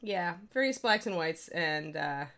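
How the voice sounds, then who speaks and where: A woman with a Midwestern accent talks through a painting livestream in a loose, rambling way, answering chat as she works. Her voice sits fairly low for a woman but sounds bright and forceful. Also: expressive, measured pace. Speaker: miniature painting streamer. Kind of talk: casual livestream painting tutorial.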